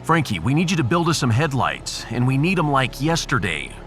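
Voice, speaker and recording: A man's voice: medium pitch, sing-song, a little fast, over music, lightly acted. A man voicing a brash starship captain with a punchy, colloquial, commanding manner in a sci-fi audiodrama. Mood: urgent. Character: brash, fast-deciding starship captain rallying the crew.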